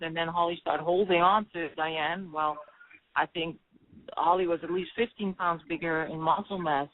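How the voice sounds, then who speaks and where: A woman's voice: sits low, no echo. A woman boxing trainer talking emphatically in a phone interview. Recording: tinny phone line.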